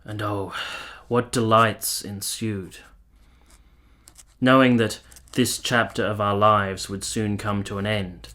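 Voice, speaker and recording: Actor as romantic 19th-century gentleman, male, fairly deep voice, literary and tender, for a period radio play. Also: level tone, slow. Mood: sensual, reminiscing. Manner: literary, lingering, formal storytelling. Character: romantic, wistful 19th-century Italian gentleman lover recalling his affair. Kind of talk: radio play acting and first-person narration.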